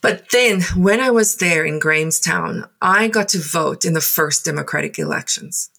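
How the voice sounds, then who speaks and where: A South African woman in her forties whose first language is Afrikaans, speaking in English and telling her own story in a thoughtful, halting way with many pauses and fillers. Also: lowish voice, gravelly, a bit bright. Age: 40s. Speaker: guest. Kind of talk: reflective personal storytelling.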